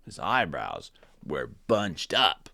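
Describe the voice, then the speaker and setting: A man reading a children's storybook aloud in animated narration with casual asides, hosting as he goes. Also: sits low, slow pace, vocal fry, no echo, clean studio sound. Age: adult parent. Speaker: storybook reader and channel host.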